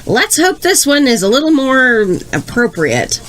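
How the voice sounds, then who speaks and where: A woman's voice: high-pitched, big pitch swings, measured pace, a bit bright, heavily acted, poor audio. A woman playing an uneasy, disapproving news show presenter in a scripted comedy.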